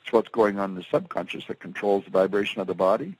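A man giving a seminar lecture from slides, asking rhetorical questions and acting out quotes, swinging between loud emphatic bursts and soft asides. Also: fairly low pitch, expressive, quick pace, poor audio. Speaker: motivational speaker.

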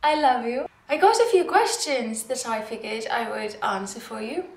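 A woman's voice: on the high side, very animated, moderate pace, vocal fry, background noise. Giggly Norwegian woman with a British-tinged accent. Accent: Norwegian with British English. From Norway. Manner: giggly, chatty, self-deprecating. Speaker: knitwear designer vlogger. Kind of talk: casual chat to camera. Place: dim living room, indoors. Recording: roomy living-room echo.

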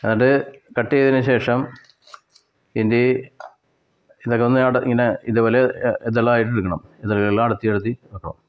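A man with a Kerala Malayali accent giving step-by-step cooking instructions in a plain way, with pauses and repetitions. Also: medium pitch, flat delivery, dull audio. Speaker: home cook presenter. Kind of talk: step-by-step recipe narration.

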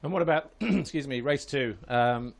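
A man's voice: medium pitch, moderate pace, creaky. A middle-aged man presents a live studio show with an easy, joking manner. He talks into a headset mic in a small indoor TV studio, sprinkling his chat with fillers. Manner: jokey, relaxed presenter with frequent fillers.

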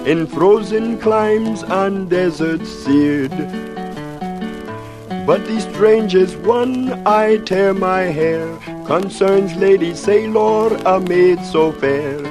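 An actor playing a genial, polite calypso singer with a formal, lilting delivery in an old radio drama. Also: male voice, sits high, drawn-out words. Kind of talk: 1950s radio acting, rhymed singing narration. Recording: old radio broadcast with loud music.

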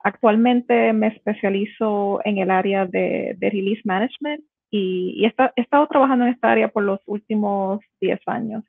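A woman's voice: medium pitch, moderate pace, gravelly, husky, no echo. A woman with a Puerto Rican accent giving a conference talk over a video call, explaining step by step with many fillers and restarts. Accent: Puerto Rican Spanish. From Puerto Rico. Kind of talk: conference presentation. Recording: tinny phone-like video call audio.

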